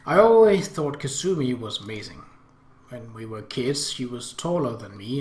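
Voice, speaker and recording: A man narrating a visual novel aloud as an expressive, theatrical first-person story reading, in an echoey room. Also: a little high. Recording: roomy, echoey home recording.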